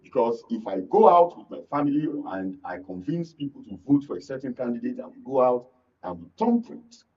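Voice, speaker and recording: A Nigerian man argues on a political panel in a husky voice. He speaks haltingly and repeats words to press his point. The sound is dull and a little off the microphone. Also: medium pitch, big pitch swings, a little mellow. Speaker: studio panel guest. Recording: dull, slightly distant studio sound.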